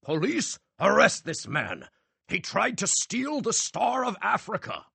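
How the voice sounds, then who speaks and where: A man voicing a showy male stage magician with a grand, drawn-out showman delivery. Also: sits high, huge pitch swings, creaky, bright, forceful tone, slightly rough, no room sound, pitched above the performer's own voice, heavily acted. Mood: smug.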